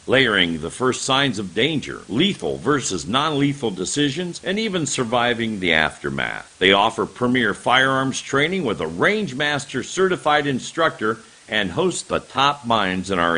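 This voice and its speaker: A man's voice: mid-range voice, sharp tone, husky. A man reading radio advertisements as an announcer.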